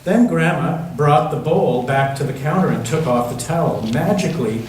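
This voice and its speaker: A man reading a picture book aloud to children in a church, pausing to put questions to them. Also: on the high side, expressive, darkish tone. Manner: pauses to ask the listening children questions. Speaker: church children's story reader. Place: large echoey church interior. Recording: very echoey church room, distant mic, faint noise.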